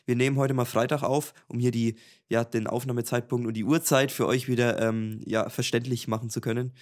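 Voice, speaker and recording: A young man with a Franconian accent, co-hosting a casual podcast chat over a video call and laughing easily. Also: medium pitch. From Franconia, Germany. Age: young adult. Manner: relaxed, chatty, laughs easily. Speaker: podcast co-host. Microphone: home podcast mic over video call.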